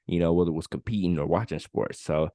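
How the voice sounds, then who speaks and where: Deep-voiced young man, casual podcast chat. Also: no room sound, clean studio sound. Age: 20s. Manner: relaxed and candid, drawn-out storytelling with filler words. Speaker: podcast co-host.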